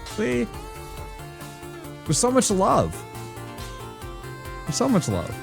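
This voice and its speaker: Relaxed man chatting on a game stream. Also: sits high, drawn-out words, creaky, music in the background. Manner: relaxed, chatty, muses aloud to chat. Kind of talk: casual live-stream chat while playing.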